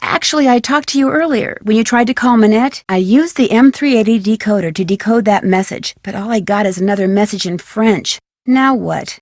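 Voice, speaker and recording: An actress playing a curious, polite, chipper young female detective in a video game, asking things courteously and clearly. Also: mid-range voice, darkish tone, no room sound, clean studio sound, natural voice. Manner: inquisitive, courteous, clearly enunciated questioning. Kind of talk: scripted video game dialogue.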